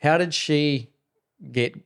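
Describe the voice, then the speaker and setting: A man's voice: on the high side, slow, clear, resonant tone. A man hosting a podcast, asking questions in a chatty, loose way with frequent restarts. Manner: chatty, asks questions in loose rambling bursts. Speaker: podcast host. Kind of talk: casual interview questions.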